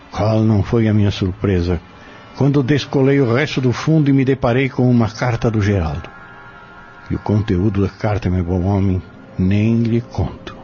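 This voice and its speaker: A man playing a secretive peddler in a Brazilian audio drama, speaking in a measured, formal, confiding way. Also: on the low side, darkish tone, natural voice. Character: secretive male peddler guarding a dead friend's secret. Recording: dull lo-fi audio drama with music.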